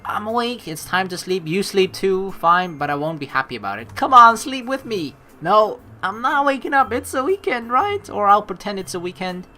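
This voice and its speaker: A woman's voice: mid-range voice, sing-song, normal speed, few pauses, sharp tone. A young woman doing a playful let's play, switching between casual commentary and theatrical narration read aloud.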